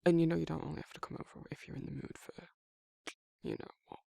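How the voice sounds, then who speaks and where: A man playing a protective, awkward but tender werewolf in an intimate ASMR roleplay, speaking in a hesitant, husky British murmur. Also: on the high side, fairly even intonation, dry recording, clean studio sound. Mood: hesitant, caring. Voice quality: husky, gravelly, breathy. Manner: halting, hesitant, self-correcting, softly murmured.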